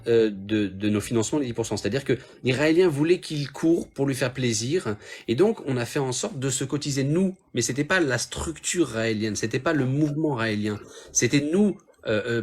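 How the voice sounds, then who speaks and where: A man's voice: medium pitch, expressive, moderate pace, husky. A man in a casual debate, defending his views with frequent hesitations, restarts and fillers. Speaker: Raëlian guest in a debate.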